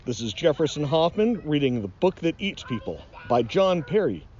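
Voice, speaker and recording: Man, middle-aged, storybook narration, theatrical, mock-ominous, very expressive intonation, faint outdoor noise. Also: fairly high pitch, moderate pace, dull audio. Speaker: nature educator reading aloud. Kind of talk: dramatic children's storybook reading.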